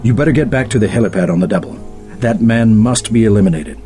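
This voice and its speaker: An actor playing a composed, formal man in a video game, speaking in measured tones. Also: fairly low pitch, over music, natural voice.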